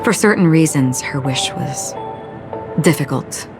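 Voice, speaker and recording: A quiet, melancholic swordswoman from a video game, with a strikingly low voice for a woman. She speaks in a measured, solemn way. Game music plays clearly behind her. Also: lively intonation. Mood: quiet, wistful. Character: calm, melancholic, forgetful female swordswoman, young adult. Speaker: wandering swordswoman. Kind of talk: game voice acting.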